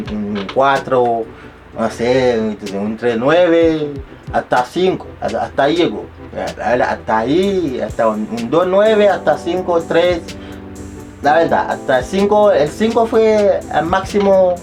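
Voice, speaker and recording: A young man speaking Spanish as a second language, telling a casual story with rambling restarts and self-mocking asides. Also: medium pitch. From abroad, not Chile. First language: not Spanish. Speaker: vlogger.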